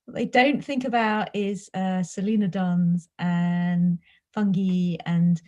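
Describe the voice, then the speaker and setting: A woman's voice: fairly low pitch, fairly even intonation, takes it slowly, mellow, dull audio. A woman moderating an online panel discussion in a conversational way, handing questions to the speakers.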